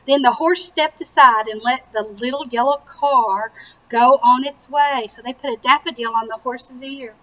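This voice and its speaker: A woman reading a picture book aloud to young children with animated emphasis and simple explanatory asides. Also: sits high, moderate pace, highs cut off, a little hiss or hum. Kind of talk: slow, expressive children's read-aloud.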